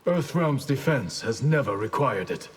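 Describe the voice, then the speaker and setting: An actor playing a grave, dutiful ninja clan master in a video game, speaking formally in a husky voice. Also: male voice, medium pitch, expressive, measured pace. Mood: solemn. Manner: formal, solemn. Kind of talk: video game fight banter.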